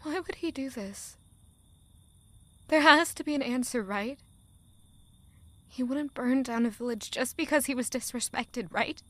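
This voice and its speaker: A woman's voice: high voice, fairly bright tone, dry recording. A woman playing an anguished, weary young village girl in a dramatic, emotional audio drama monologue. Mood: pleading, confused. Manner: emotional, slow, deliberate delivery with rhetorical questions.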